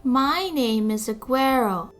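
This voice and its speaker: A woman's voice: sits high, slow, faint music behind. A woman voicing an earnest, lonely and proud little boy of about six, eager and a bit haughty, in a storybook reading. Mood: friendly, reassuring.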